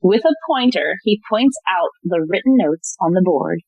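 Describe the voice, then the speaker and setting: A woman's voice: on the low side, animated, moderate pace, gravelly, a little mellow, slightly rough, no echo, lo-fi sound. A woman narrator reading scene-setting stage directions for an audio play in a scripted narration style.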